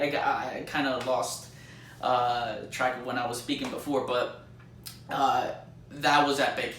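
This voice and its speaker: A man with a bright, forceful voice talks through a film in a loose, slangy way, leaning hard on his opinions. His pitch sits a little high, and he sounds set back from the mic in an echoey room. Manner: rambling, slangy, mildly sweary fan talk. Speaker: movie reviewer. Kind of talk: casual spoken movie review with spoilers. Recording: roomy echo, distant mic, faint noise.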